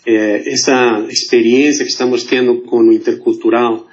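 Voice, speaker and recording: A man's voice: mid-range voice, moderate pace. Mexican-accented man, muffled audio. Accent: Mexican Spanish. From Mexico City. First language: Spanish. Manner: formal, steady, many fillers. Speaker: guest, consular diplomat. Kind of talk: podcast interview.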